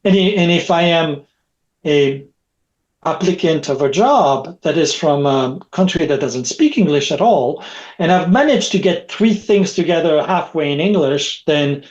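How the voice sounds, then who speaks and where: A middle-aged man with French as his first language talks as a podcast guest over a video call. His voice sits fairly high for a man, and he rambles, circling back with repeated words and a habitual "right?" as he builds a point. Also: a little slow, darkish tone, lo-fi sound. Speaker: guest, technology expert. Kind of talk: conversational podcast interview.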